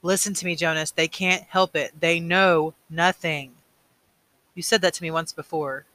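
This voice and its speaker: A man voicing a grave, weary male elder mentor in a storybook, measured and earnest. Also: on the high side, fairly even intonation, sharp tone. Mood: firm.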